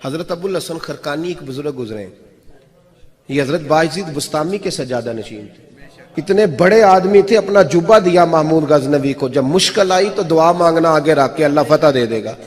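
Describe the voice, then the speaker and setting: A man's voice: on the high side, lively intonation, moderate pace. A man preaching in a storytelling sermon style, recorded in a large reverberant hall.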